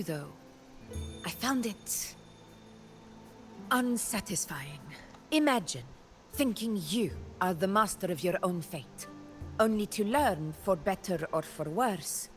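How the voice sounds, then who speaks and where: A woman playing a proud, ambitious warrior in a video game, wry and brooding, speaking in measured, dramatic phrases with music underneath. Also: mid-range voice, lively intonation, natural voice. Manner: measured, dramatic, with weighted pauses. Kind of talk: scripted video game story acting.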